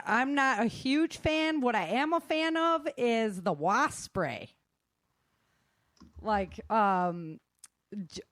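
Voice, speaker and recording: A woman with a mid-pitched voice talks casually, as a guest passing on practical advice. She is plain-spoken and leans on her key points. The sound is dry, with very little room in it. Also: dry recording. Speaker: guest sharing self-defense advice.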